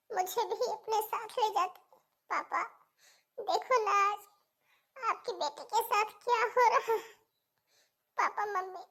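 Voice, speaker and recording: A woman's voice: very high voice, vocal fry, fairly bright tone, full character voice. A woman voicing a meek, sorrowful young widow in a melodramatic cartoon story, speaking in a plaintive way. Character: sorrowful, put-upon young widow in her brother's home. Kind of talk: melodramatic Hindi cartoon acting.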